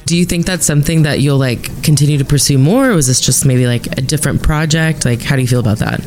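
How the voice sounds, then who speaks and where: A woman co-hosting a podcast, asking friendly, somewhat halting questions with enthusiasm. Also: low voice, darkish tone, soft background music. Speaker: podcast co-host and interviewer. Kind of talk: casual interview.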